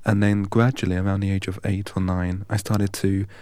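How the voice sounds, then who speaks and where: A man from England, a guest in an interview, telling his story in a measured, deliberate way. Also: low-pitched, little pitch movement, a little mellow, dry recording. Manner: measured and deliberate, recites long strings of numbers evenly.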